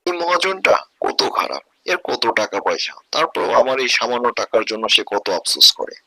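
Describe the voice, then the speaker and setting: A man voicing a poor, caring village father in a cartoon story, speaking in an earnest, deliberate way. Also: medium pitch, sing-song, pushed and bright, heavily acted. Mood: anxious, pleading. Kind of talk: Bengali cartoon story dubbing.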